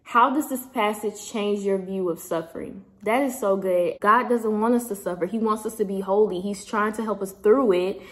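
A woman's voice: mid-range voice, normal speed, few pauses. A young woman vlogging at home, reading a devotional aloud and chatting casually into a phone held close. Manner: chatty, upbeat, warm-hearted sign-offs. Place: indoors at home, small room. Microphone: phone camera held close.

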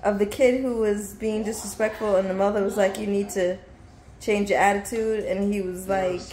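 A woman's voice: mid-range voice, steady tone, normal speed, darkish tone, slight background noise. A woman chatting casually and bantering in an opinionated way, as a parent co-hosting a home cooking stream. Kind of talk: casual chat. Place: home kitchen. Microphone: distant camera mic over the counter. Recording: echoey kitchen with music behind.

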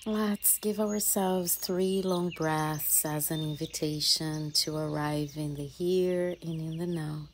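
Woman doing guided meditation, super slow and soft, breathy at the ends of phrases, birds chirping quietly behind her. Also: low-pitched, steady tone. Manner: soothing, gentle, with long pauses between short phrases. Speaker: yoga and mindfulness teacher leading a guided meditation. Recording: faint ambient noise with birdsong. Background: birdsong and nature ambience.

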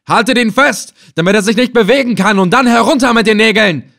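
A man voicing a furious, cruel slave-hunter chieftain in an audiobook adventure, loud, commanding and menacing. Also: a little high, expressive, moderate pace, husky, pitched above the performer's own voice. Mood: enraged, commanding. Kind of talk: dramatized audiobook adventure reading.

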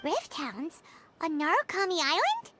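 Fairy companion, anime game: tiny, feisty, childlike, extremely high and hugely animated. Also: female voice, a little slow, music in the background, heavily acted. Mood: curious surprise. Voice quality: squeaky, childlike. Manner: excitable, emphatic. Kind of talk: anime-style video game voice acting.

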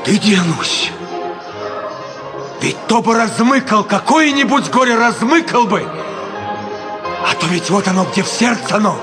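A despairing peasant tormented by guilt. His voice swings widely in pitch as he speaks in broken, anguished phrases, in an old Soviet radio drama with music behind him. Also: expressive, a little slow, long pauses. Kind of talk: Soviet radio play acting.

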